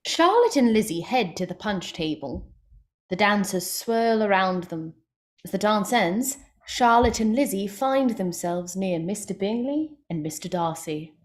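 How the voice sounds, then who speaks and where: Slow, measured woman narrator. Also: mid-range voice, expressive. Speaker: narrator reading stage directions. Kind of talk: scripted dramatic narration.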